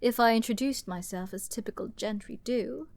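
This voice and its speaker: A woman's voice: on the high side, no echo. Woman acting out a haughty, flirty, menacing princess, dry close sound. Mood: flirty, mocking. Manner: haughty, theatrical, drawn-out phrasing with hushed breathy asides. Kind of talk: dramatic ASMR roleplay audio acting.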